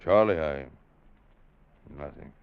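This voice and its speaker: An actor playing a stern, shaken sea captain speaking in clipped, formal lines in 1940s radio drama style. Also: male voice, extremely low pitch, takes it slowly, clear, resonant tone, heavily acted. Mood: somber. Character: stern older male sea captain, shaken but firm. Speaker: ship's captain. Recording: dull old radio recording with faint hiss.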